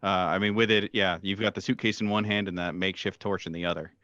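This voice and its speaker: A man with a low voice runs a tabletop horror game as game master. He narrates haltingly, pausing as he improvises descriptions. The sound is dry and slightly dull and lo-fi. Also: steady tone, bright, forceful tone, no echo. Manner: halting, with many pauses.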